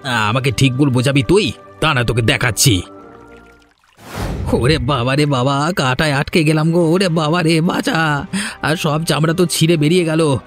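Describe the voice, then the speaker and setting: A man's voice: fairly high pitch, moderate pace, pauses often, soft background music, close to an everyday voice. A man voicing a scheming, cowardly landlord's servant in a cartoon drama, argumentative and insistent. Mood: pleading in pain. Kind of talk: Bengali cartoon drama dialogue.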